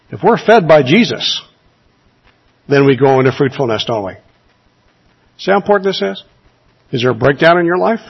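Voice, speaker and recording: A man preaches with earnest urgency, pressing his listeners with rhetorical questions. His voice sits a little high and has a husky edge. The recording sounds dull and muffled. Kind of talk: sermon.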